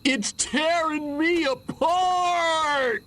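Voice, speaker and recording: A man's voice: very high voice, very slow, sharp tone, a little hiss or hum, exaggerated voice. An actor playing a restless, overwrought teenage rebel boy from a 1950s small town in a video game, delivered melodramatically. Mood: overexcited.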